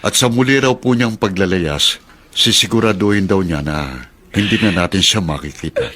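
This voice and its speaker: A man's voice: low voice, moderate pace, a bit bright. A man playing a humble, caring working-class taxi driver in a radio drama, deferential, hesitant and earnest. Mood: earnest, grave. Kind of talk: Filipino radio drama acting.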